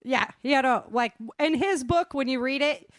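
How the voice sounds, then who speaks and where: A woman's voice: sits high, moderate pace, no echo, clean studio sound. A woman hosting a casual interview, talking in an informal, encouraging way. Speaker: host interviewing her audio drama cast.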